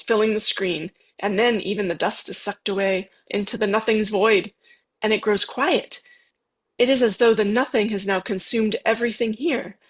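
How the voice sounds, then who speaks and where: A woman's voice: medium pitch, fairly bright tone, husky. A woman narrating a screenplay's stage directions in a scripted table read.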